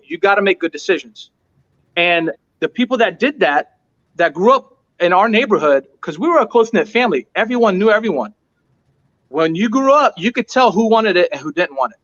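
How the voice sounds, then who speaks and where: Guest-interview read: high-pitched man, earnest and emphatic, hammering points through repetition, heard over muffled lo-fi audio. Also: fairly bright tone. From United States. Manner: emphatic, repeats points for stress. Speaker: restaurant owner, interview guest. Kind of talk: earnest motivational storytelling.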